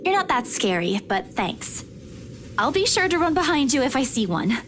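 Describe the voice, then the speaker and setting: A woman's voice: sits high, big pitch swings, moderate pace, a bit bright, slight background noise, voice pushed up. A woman voicing a nervous, apologetic young woman who stammers, in a visual novel. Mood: uneasy.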